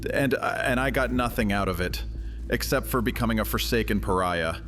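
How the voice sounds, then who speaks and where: Guy playing a bitter old vampire, really low voice, theatrical and mocking, music underneath. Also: moderate pace, a bit bright, close to an everyday voice. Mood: bitter, aggrieved. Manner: theatrical, taunting, sardonic. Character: bitter, mocking thousand-year-old male vampire exile. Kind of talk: dramatic audio fiction acting.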